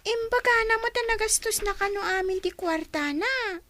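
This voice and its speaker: A woman's voice: very high-pitched, moderate pace, pushed and bright. A woman acting as an earnest, defensive young schoolgirl in a radio drama.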